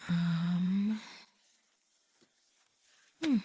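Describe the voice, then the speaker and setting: A woman's voice: fairly low pitch, steady tone, very slow, dark tone, slight background noise. A woman playing a betrayed, hurt young wife in an Ilocano audio drama. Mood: quiet, suspicious.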